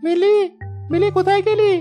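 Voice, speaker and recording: A woman's voice: very high voice, fairly even intonation, normal speed, mellow, faint music behind. A woman voicing an earnest, caring schoolboy from a poor village in a moral story cartoon. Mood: eager, affectionate. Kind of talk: scripted children's moral story cartoon dubbing.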